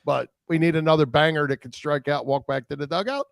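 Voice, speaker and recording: A man's voice: on the high side, a little fast, gravelly, no echo, clean studio sound. A man co-hosting a sports show, chatting casually about the games.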